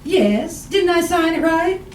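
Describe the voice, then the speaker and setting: An actress playing a romantic but nagging, easily wounded wife in old-time radio comedy, chattering and exasperated. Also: female voice, extremely high pitch, lively intonation, slow, recorded from a distance, heavily acted. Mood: chatty. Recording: roomy, noisy old radio, off mic.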